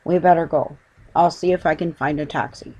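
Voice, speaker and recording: A woman's voice: low voice, fairly even intonation, brisk, warm tone, muffled recording. A woman voicing a mild, polite middle-aged father in a storybook, a little hesitant. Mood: awkward, hesitant.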